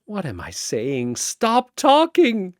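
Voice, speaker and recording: A man's voice: high-pitched, slow pace, no echo, studio quality, voice pushed up, full character voice. A man voicing a secretly terrified fighter who bluffs with bored condescension in a battle story. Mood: panicked. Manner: flat, bored, condescending out loud.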